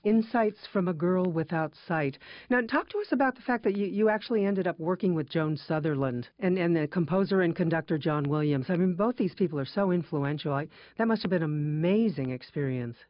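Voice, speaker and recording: A radio host leading an interview with friendly, complimentary questions. The voice sits at a middle pitch. It is recorded dry and close, with a somewhat muffled broadcast tone. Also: expressive, brisk, no room sound.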